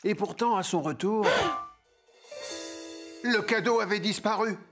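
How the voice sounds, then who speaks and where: A man narrating a children's cartoon as a wry, playful storyteller with expressive delivery. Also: high voice, measured pace, over music.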